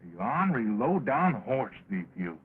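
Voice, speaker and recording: An actor playing a young cowboy sidekick with an American drawl, folksy and mock-insulting, in an early talkie film. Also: male voice, mid-range voice, sing-song, unhurried, husky, exaggerated voice. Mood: mock angry. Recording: tinny, crackly 1920s film soundtrack.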